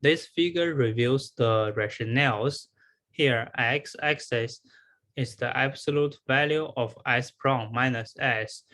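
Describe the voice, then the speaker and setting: A man presents a research paper in a slow, measured delivery at a medium pitch. He sounds like he is following prepared material, moving haltingly with pauses and repeated words. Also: fairly bright tone. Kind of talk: scripted academic presentation.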